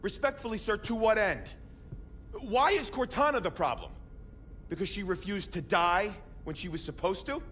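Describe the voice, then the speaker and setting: A man's voice: high-pitched, normal speed, fairly bright tone, slight background noise. A man voicing a cheeky, outspoken military artificial intelligence in a video game, indignant and theatrical yet pointedly polite. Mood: challenging. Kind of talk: video game cutscene acting.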